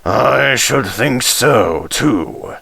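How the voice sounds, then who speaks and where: A man voicing a stern, pompous railway controller with slow, weighty disapproval in a children's story. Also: medium pitch, animated, creaky, bright, forceful tone, breathy edge, dry recording, exaggerated voice. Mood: indignant.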